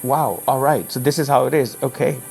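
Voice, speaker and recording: A man from Pakistan, a native Urdu speaker, chatting casually, giving frequent backchannels and climbing high in pitch when excited. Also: brisk, vocal fry, faint music behind. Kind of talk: casual conversation.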